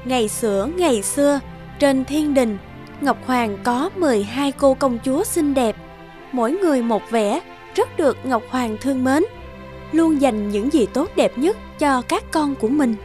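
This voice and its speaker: High-voiced woman telling a fairy tale. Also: animated, a little slow, a little mellow. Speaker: narrator. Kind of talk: scripted fairy-tale storytelling. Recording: music behind the voice.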